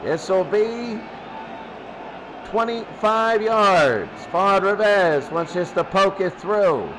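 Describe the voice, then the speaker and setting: A man's voice: high voice, expressive, slow pace, a bit bright, a little hiss or hum, poor audio. A man doing football play-by-play as the announcer, often repeating his phrases. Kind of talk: amateur football play-by-play commentary.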